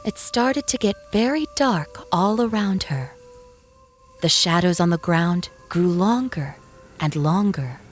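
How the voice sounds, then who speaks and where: A woman narrating a scripted children's story in a gentle, expressive, measured way for very young listeners. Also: fairly low pitch, faint music behind.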